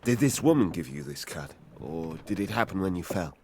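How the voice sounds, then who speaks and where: A man's voice: low voice, lively intonation, a little fast, darkish tone, a little hiss or hum. A man playing a fatherly ship's captain in an audio drama, soothing, sceptical and a little hesitant.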